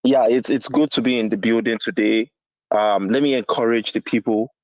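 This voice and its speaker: A man's voice: mid-range voice, fairly even intonation, moderate pace, darkish tone, no room sound. A man with a Nigerian accent giving casual commentary as a caller to a show, heard over a phone call. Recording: dull, dry phone-call sound.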